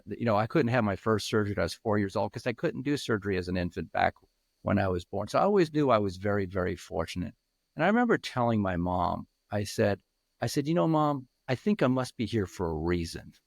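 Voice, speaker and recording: A man's voice: lowish voice, no echo. Earnest man over fifty, dry sound. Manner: earnest, halting, with many restarts. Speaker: guest peer mentor. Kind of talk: reflective interview answers.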